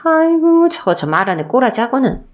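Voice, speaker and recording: A woman's voice: sits high, very animated, a little fast, voice pushed up. A woman voicing a spiteful old mother-in-law who scolds and belittles with exasperated exclamations in a radio story drama. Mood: scornful. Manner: scolding, contemptuous, sighing exclamations.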